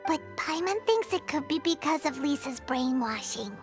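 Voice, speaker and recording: A woman's voice: high voice, animated, moderate pace. A woman playing a tiny, cheeky, chattering fairy companion in a video game, blunt and teasing. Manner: blunt, teasing, refers to herself by name. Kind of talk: video game character dialogue. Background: faint music.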